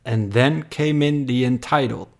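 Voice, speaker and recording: Man, mid-pitched, very slow pace, solemn, measured poetry narration. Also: vocal fry. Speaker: narrator, poem reader. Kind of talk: scripted dramatic poetry narration.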